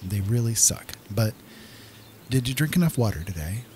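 A man's voice: lowish voice, lively intonation, moderate pace, darkish tone, slight background noise. A man giving casual gameplay commentary as the host of a gaming video, talking directly to viewers.

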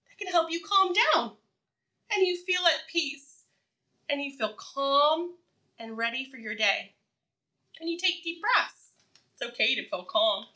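A woman preschool teacher with a high, very expressive voice leads a lesson for young children. Her delivery is bright and encouraging, built on simple, repeated phrases and questions left open for the listener. She sounds a little distant from the microphone. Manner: animated, encouraging, asks questions and pauses for answers.

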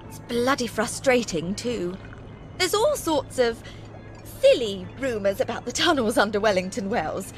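Exasperated British woman editor, gossipy game character, lively swooping delivery, light music behind. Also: on the high side. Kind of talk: video game acting.

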